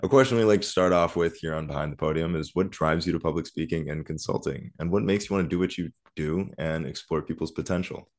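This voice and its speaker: A man's voice: a bass voice, fast talker, few pauses. A man hosting a podcast interview, asking long, rambling questions with many hesitations. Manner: rambling, hesitant questions full of fillers and restarts. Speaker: podcast host.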